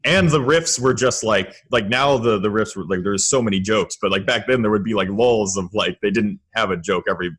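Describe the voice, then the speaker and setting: A man's voice: lowish voice, a little fast, hardly any pauses, a bit bright, breathy edge. An American man from Florida in a casual podcast chat, laid-back and chuckling as he talks. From Florida, United States. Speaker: podcast guest or host.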